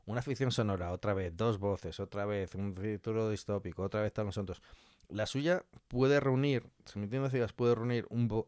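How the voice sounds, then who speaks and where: A man's voice: on the low side, darkish tone, no room sound, studio quality. A man with a Spanish accent from Spain chatting casually and colloquially as a podcast guest, often repeating phrases for emphasis. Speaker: interview guest, audio fiction scriptwriter.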